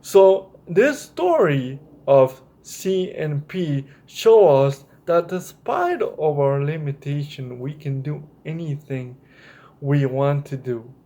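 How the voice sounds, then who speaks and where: A man with a slightly high voice speaks very slowly, as if to young children. He hosts a story time, reading and explaining in an encouraging way and addressing his listeners directly. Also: lively intonation, warm tone.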